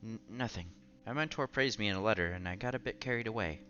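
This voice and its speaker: Male let's-player, low forceful voice, casual read-aloud with small stumbles, music behind. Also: fast, muffled recording. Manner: stumbles and repeats words while reading. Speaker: streamer reading a visual novel aloud. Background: game music.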